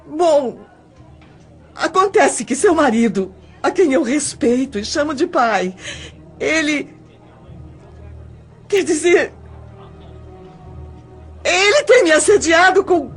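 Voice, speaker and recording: A woman's voice: high-pitched, lively intonation, takes it slowly, long pauses, soft background music. An actress playing a distressed, timid young woman in an audio drama, hesitant and pleading as she confesses. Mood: tearful, distressed.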